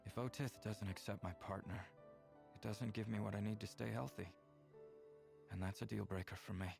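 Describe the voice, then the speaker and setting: A melancholy alien neighbor from a visual novel game. His voice is low and gravelly, and he talks gently, in measured, earnest phrases. Music plays underneath. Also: fairly even intonation. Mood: serious, wistful.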